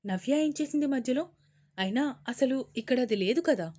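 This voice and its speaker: A woman's voice: mid-range voice, fairly even intonation, brisk, darkish tone, lightly acted. A woman voicing a middle-aged mother who scolds her daughter and pleads with her in a Telugu audio story. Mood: stern.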